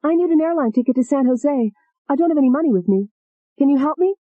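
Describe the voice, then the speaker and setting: A woman's voice: high voice, lively intonation, quick pace, no echo, phone-quality sound, voice pushed up. A woman voicing a frightened, desperate young woman who speaks in an urgent, pleading way.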